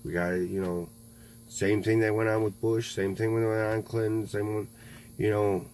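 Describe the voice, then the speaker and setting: A man in his thirties in a rambling political monologue, halting, full of fillers and frequent swearing. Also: fairly low pitch, level tone, a little mellow, low-quality recording. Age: 30s. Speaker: video blogger. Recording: faint mains hum.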